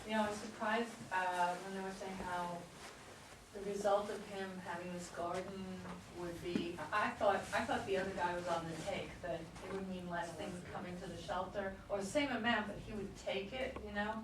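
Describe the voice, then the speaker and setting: A woman's voice: mid-range voice, steady tone, normal speed. Woman chatting from far off, echoey room. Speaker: group discussion participant. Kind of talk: casual discussion. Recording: echoey room, distant mic, clear noise.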